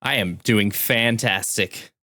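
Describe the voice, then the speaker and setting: Male co-host for casual podcast chat, slightly deep voice, close and dry. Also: slow, bright, forceful tone, no echo.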